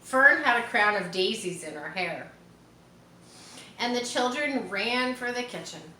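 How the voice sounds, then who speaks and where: A woman reading a children's story aloud, expressive, as if guiding young listeners through it. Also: a little high, unhurried. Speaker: storybook reader. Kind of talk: children's read-aloud narration. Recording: roomy echo, faint background noise.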